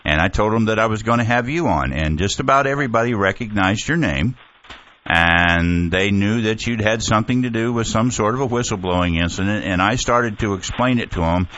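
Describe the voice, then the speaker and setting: A man's voice: lowish voice, a little fast, fairly bright tone. A man hosting a call-in talk radio show, chatting in a rambling, easygoing way. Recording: dull lo-fi broadcast audio.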